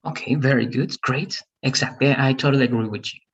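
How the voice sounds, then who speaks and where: A man's voice: mid-range voice, a little fast, breathy edge, highs cut off. A man teaching English grammar online, patiently checking understanding as he goes. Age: 30s. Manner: patient, explains step by step, many "all right?" checks.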